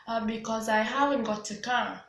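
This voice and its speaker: A woman's voice: a little high, steady tone, normal speed, vocal fry, a bit bright, roomy sound, a little hiss or hum. A woman in her twenties with a Vietnamese accent, practising scripted English dialogue as a student, hesitant with many fillers and restarts. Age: 20s.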